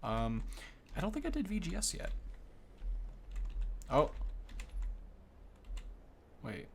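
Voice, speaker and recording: A man's voice: a little high, huge pitch swings, slow pace, a little hiss or hum. A young man giving casual, off-the-cuff game commentary, thinking aloud informally as he goes. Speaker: gaming video host.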